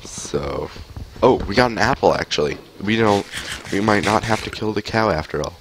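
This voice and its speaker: A young man with a very deep voice talks over his game on a headset mic, stuffy from a cold. He rambles along casually, full of likes and ums, with a faint hiss of noise behind him at home. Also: expressive, normal speed. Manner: rambling, chatty, many fillers. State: has a cold. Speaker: streamer. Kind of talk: casual gameplay commentary. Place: home room, indoors.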